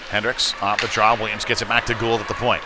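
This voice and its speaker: A man doing live play-by-play hockey commentary in a halting delivery with frequent fillers. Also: on the low side, flat delivery, a crowd in the background. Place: indoor ice rink. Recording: noisy live rink stream.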